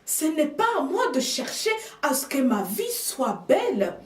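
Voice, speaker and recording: Female preacher, non-native speaker, measured emphatic sermon, distant echoey pickup. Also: sits high, sing-song. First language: another language than French. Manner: slow, emphatic preaching with hushed asides. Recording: echoey room, speaker off mic.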